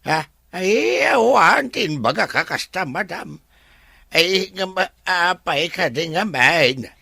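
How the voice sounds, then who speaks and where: An actor in a radio drama playing a flustered, bumbling older male servant with a creaky, rough voice, halting and apologetic. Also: sits high, lively intonation, pushed and bright, dry recording, studio quality, heavily acted. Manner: halting, whining, apologetic, full of ay and ah. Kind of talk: Ilocano radio drama acting.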